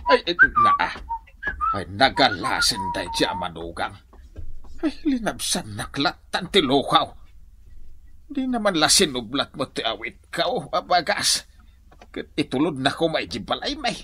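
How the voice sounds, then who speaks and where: A man plays an exasperated old father-in-law in an Ilocano radio drama. His voice is bright and forceful, leaping wildly in pitch as he grumbles with an Ilocano accent. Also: huge pitch swings, measured pace, slightly rough, heavily acted.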